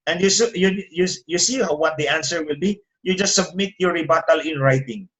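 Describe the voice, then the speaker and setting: Filipino man, indignant, rising pitch. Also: a little fast, a bit bright, low-quality recording. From Philippines. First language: Tagalog. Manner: indignant, rises sharply in pitch when making a point. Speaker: attorney and guest. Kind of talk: interview over a call.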